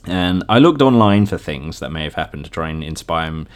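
A man's voice: extremely low pitch, expressive, fairly quick, smooth. A British man in his thirties hosting a casual solo podcast, chatting in a rambling way and often interrupting himself. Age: 30s. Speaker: podcast host.

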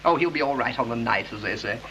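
An actor playing a smug, needling gentleman in a 1930s British film, with a cut-glass English accent. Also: mid-range voice, expressive, quick pace. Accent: cut-glass upper-class English. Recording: muffled, hissy 1930s film soundtrack.

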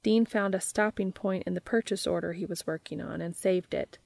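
A woman's voice: lowish voice, moderate pace, gravelly, a little mellow, no echo. A woman who hosts a podcast and reads fiction aloud as scripted audiobook narration. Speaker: podcast host and fiction reader.

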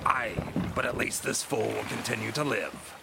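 An actor playing a cruel, domineering ship captain in a nautical audio drama, with a commanding, menacing manner and archaic sailor speech. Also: male voice, lowish voice, fairly even intonation, moderate pace, vocal fry, very noisy.

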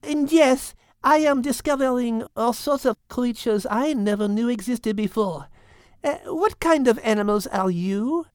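A man voicing a gentle, caring female cat in a storybook reading, speaking softly and earnestly. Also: very high voice, animated, clear, resonant tone, no room sound, studio quality, pitched above the performer's own voice. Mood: concerned. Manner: soft, slow and earnest, sprinkled with French words.

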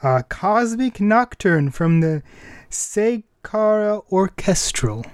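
Male podcast host, slightly high-pitched, dry sound, enthusiastic and loosely conversational. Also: animated, takes it slowly, clean tone, no echo. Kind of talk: casual music and anime chat.

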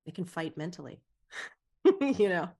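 Woman with a lowish voice, podcast guest, just talking things through casually and reflectively. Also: very animated, measured pace, creaky, warm tone, breathy edge. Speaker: podcast guest, Human Design teacher.

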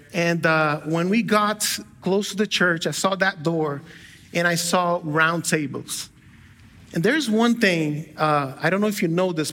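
A man from Brazil delivers a sermon in a church, his voice pitched a little high and sounding bright and forceful. He talks in a loose, conversational way, restarting phrases and slipping in small jokes. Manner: conversational with light humor and frequent self-corrections. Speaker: guest pastor. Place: church sanctuary with a live congregation.

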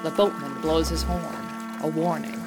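A woman playing a brisk, composed production manager who narrates a mystery radio play in a controlled, noir storyteller's way. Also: low-pitched, steady tone. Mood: suspenseful narration. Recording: music bed behind the voice.

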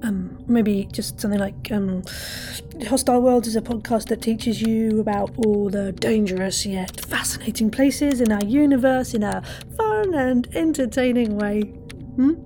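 A woman playing a polite, sceptical crewmate and narrator in a scripted audio drama, speaking in a measured way. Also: on the high side, dark tone, slight background noise. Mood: sceptical, hesitant. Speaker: podcast host. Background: music.

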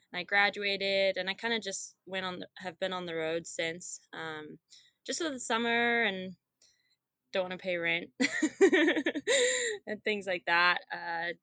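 A woman's voice: on the low side, sharp tone, no echo. A young woman from Zimbabwe, a guest runner, chatting casually and laughing often. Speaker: guest ultrarunner. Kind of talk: casual interview chat.

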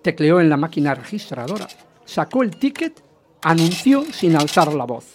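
A man's voice: on the high side, sing-song, normal speed. An actor playing a jealous, agitated husband in a radio play, insistent and dramatic as he narrates and questions. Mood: brooding narration. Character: jealous, agitated husband recounting his hunt for a rumour. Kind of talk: radio theatre narration and dialogue.

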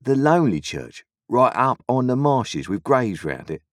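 A man voicing a cunning, secretive stranger who asks probing questions in a sly, drawn-out way. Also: medium pitch, very animated, no echo, clean studio sound, pitched above the performer's own voice.

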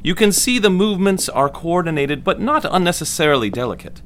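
A man's voice: high voice, very animated, a bit bright, slight background noise. Man playing a clinical 1940s lecturing doctor, swooping intonation. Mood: detached, didactic. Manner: measured, detached, authoritative. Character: confident, clinical 1940s male lobotomist lecturing medical students. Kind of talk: formal scripted medical lecture.

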